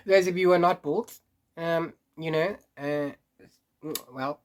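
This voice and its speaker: A man's voice: on the high side, takes it slowly. Congested, chatty man. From South Africa. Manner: chatty and rambling, frequent restarts and little laughs. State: congested from hay fever, sniffly. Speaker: book vlogger. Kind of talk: casual chat to camera.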